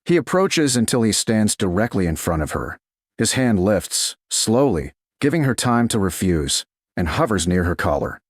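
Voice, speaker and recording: A man narrating a scripted romance story in a measured, deliberate way, with short dramatic phrases. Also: fairly low pitch, sing-song, no room sound, studio quality.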